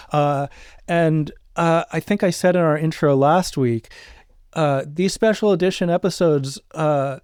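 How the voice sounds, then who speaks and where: A man's voice: a little high, a little mellow, clean tone, dry recording, studio quality. A man co-hosting a podcast, talking casually and explaining things in a conversational way. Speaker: podcast co-host and audio drama creator.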